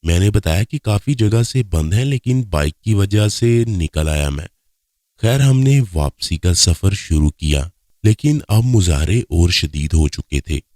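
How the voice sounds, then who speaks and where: A man's voice: low-pitched, expressive, measured pace, warm tone, dry recording, studio quality. A man reading a scripted first-person story as its narrator.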